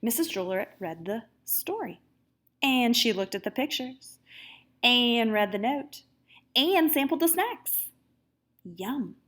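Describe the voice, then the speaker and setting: Lady teacher doing storytime for little kids, highish voice, super animated and up and down. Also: unhurried. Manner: animated, asks young listeners questions. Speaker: kindergarten teacher reading aloud. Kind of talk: expressive children's read-aloud with asides to listeners.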